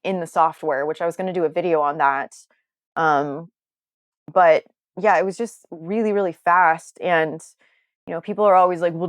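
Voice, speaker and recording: A woman's voice: lowish voice, no room sound. A young adult woman talking as an interview guest, candid and earnest, meandering and often circling back mid-sentence.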